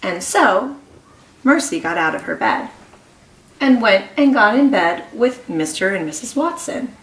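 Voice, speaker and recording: A woman kindergarten teacher reading a story aloud to young children, expressive and encouraging, pausing to ask them questions. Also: mid-range voice, far from the mic. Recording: echoey room.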